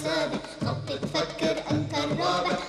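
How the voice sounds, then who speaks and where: A woman's voice: high-pitched, little pitch movement, slow pace, very echoey, loud music behind the voice, rough recording. A woman singing a sweeping theme song. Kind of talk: sung anthem.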